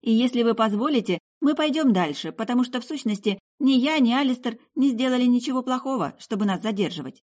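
A woman voicing an odd, chatty young sorceress in an audiobook, breezy and quick to take offence. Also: on the high side, expressive, darkish tone, voice pushed up. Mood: matter-of-fact. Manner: breezy, indignant, talkative.

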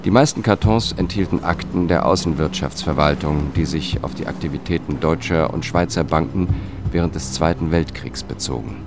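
An actor playing a dogged, tense male investigator who tells his own thriller in a sober, measured way in a radio drama. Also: extremely low pitch. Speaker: first-person narrator and lead character. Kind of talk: radio drama narration and dialogue. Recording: music under the voice.

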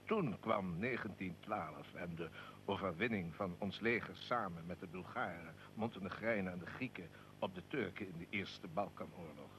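An actor playing a commanding Serbian colonel and secret society leader in a historical radio drama, solemn and deliberate. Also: male voice, deep voice, background noise.

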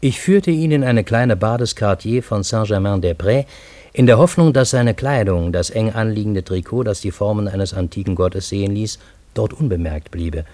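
An actor playing a Parisian man who narrates his own strange adventure in a radio drama, in measured literary storytelling. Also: on the low side, darkish tone, no room sound, lightly acted. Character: male Parisian narrator, astonished friend of time traveller.

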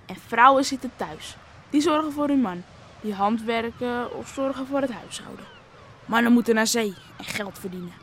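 A woman's voice: on the high side, moderate pace, a little hiss or hum, close to an everyday voice. A woman playing a cocksure young fisherman's son, bossy and teasing, in a Dutch radio play. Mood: bossy, matter-of-fact.

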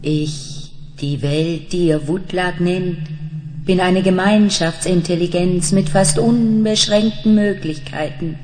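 A woman playing a vast telepathic planet intelligence in a 1970s radio drama, commanding and hypnotic, with deliberate, incantatory phrasing. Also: fairly low pitch, darkish tone. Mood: solemn, commanding.

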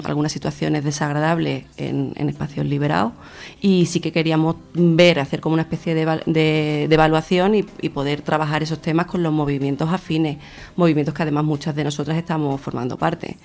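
A young woman with a gravelly, creaky voice gives interview answers. Her pitch moves very little, and she builds her thoughts hesitantly as she goes. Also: a little mellow. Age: young adult. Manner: hesitant phrasing, searching for words. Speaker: interview guest, feminist activist.

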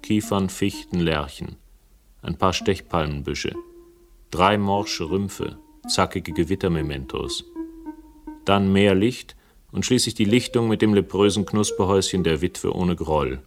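Deep-voiced, wry male investigator. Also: measured pace, over music. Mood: dry, wry. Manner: ironic, literate, ornate wording. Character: wry, urbane male investigator narrating his own case. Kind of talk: German radio crime drama acting and first-person narration.

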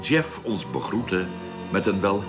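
Deep reflective narrator. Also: male voice, very animated, music in the background, full character voice. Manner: measured, literary. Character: reflective male space explorer recounting his memoir. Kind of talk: scripted narration in a radio drama.

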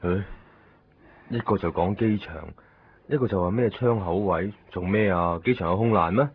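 A man playing a calm, matter-of-fact hospital doctor in a Hong Kong Cantonese radio drama from the 1990s. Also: sits low, moderate pace, a little hiss or hum, natural voice. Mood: puzzled. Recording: muffled, phone-like 1990s radio recording.

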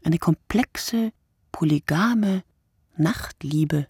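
A woman playing a brooding, solitary writer in a radio play monologue, speaking deliberately and poetically, often dropping to a breathy hush. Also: sits low, dry recording, studio quality, full character voice. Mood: intimate, poetic. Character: wakeful solitary night writer, a woman, brooding and word-obsessed.

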